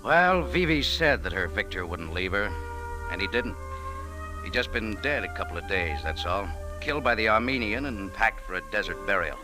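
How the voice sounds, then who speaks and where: A man's voice: lowish voice, big pitch swings, normal speed, low-quality recording. Wisecracking American radio tough guy. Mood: brooding, wry. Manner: slangy, sardonic, clipped. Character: tough, wisecracking American expatriate café owner and adventurer. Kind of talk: 1940s hard-boiled radio acting and narration. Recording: old radio drama with prominent music.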